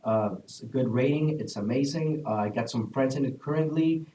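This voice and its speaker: Mellow young man from El Salvador, roomy sound. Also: medium pitch, normal speed, slight background noise. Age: young adult. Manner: earnest, recommending things with frequent "uh" fillers. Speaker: student panelist. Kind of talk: casual panel answers. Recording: echoey room, distant mic.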